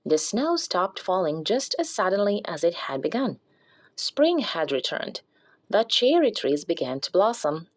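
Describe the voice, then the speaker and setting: A woman narrating a children's picture book as a scripted read-aloud. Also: medium pitch. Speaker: storybook reader.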